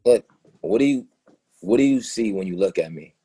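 A man acting a hurt, frustrated young boyfriend in a naturalistic play reading, halting and repeating himself. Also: lowish voice, expressive, warm tone, breathy edge. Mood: hesitant, searching. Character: hurt, frustrated young boyfriend confronting his girlfriend about racism. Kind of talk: readers theatre play reading, naturalistic dialogue.

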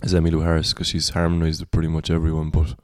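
This is a Cork Irish man with a deep baritone voice that sits very low. His delivery stays level, with little rise and fall. He sounds reflective and wanders through his thoughts in a casual podcast chat, recorded close with a dry sound. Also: completely flat delivery, very fast, no room sound. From Cork, Ireland. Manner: reflective, rambling. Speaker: singer-songwriter guest.

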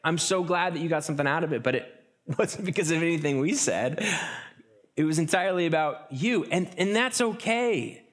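A man preaching a sermon in a relaxed, conversational way, often restarting phrases and slipping into quick acted asides. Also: fairly high pitch. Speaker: pastor.

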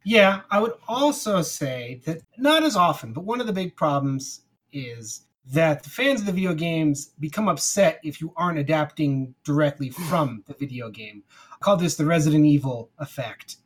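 A man's voice: on the high side, animated, moderate pace, slightly rough. A man co-hosting a podcast in a casual, conversational style. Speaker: podcast co-host. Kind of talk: casual chat.

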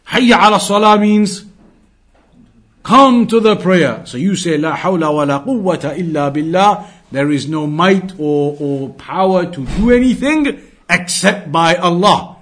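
A man's voice: high-pitched, expressive, a little fast, a bit bright. A man giving an Islamic religious lesson in an emphatic, didactic manner, repeating key phrases as he explains scripture. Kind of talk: religious lecture, quoting and translating scripture.

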